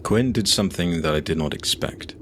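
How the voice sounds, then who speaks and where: A man narrating a scripted fantasy audio drama in a measured, solemn way, over faint noise and ambience. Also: deep voice.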